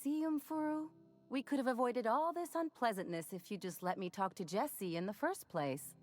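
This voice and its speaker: A woman's voice: on the high side, animated, moderate pace, a little mellow, close to an everyday voice. A woman playing a stubborn, indignant doctor in a video game, assertive and sardonic. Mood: exasperated, sardonic.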